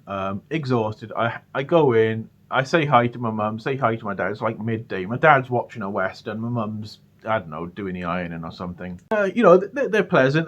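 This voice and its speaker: A man's voice: mid-range voice, fairly bright tone, highs cut off. A British man telling a personal story casually, often stammering and repeating his words as he goes. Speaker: storyteller, channel host.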